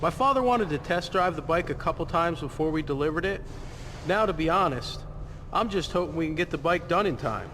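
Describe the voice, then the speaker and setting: A man's voice: a little high, animated, fairly quick, noisy background, close to an everyday voice. A man playing the earnest son in a family bike shop, speaking as in a reality show interview.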